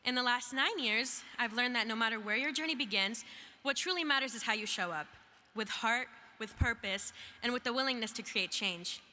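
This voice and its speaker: A young woman who grew up in Iraq and speaks Arabic natively, giving a measured, emphatic speech as a student speaker at a graduation. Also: on the high side, sharp tone. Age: young adult. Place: large hall. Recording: reverberant hall with faint noise.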